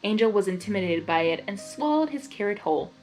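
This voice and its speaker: A woman's voice: mid-range voice, animated, fast talker, a little mellow, rough recording. Informal woman reading fan fiction aloud. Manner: giggly, informal. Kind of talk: expressive story reading with casual asides. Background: music.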